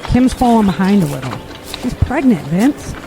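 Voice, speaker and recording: An actress playing a reassuring, resolute mother, earnest and gentle, in an audio drama. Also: medium pitch, big pitch swings, moderate pace, creaky, over music. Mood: concerned. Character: reassuring, resolute mother leading her family. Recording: noisy outdoor ambience with birds.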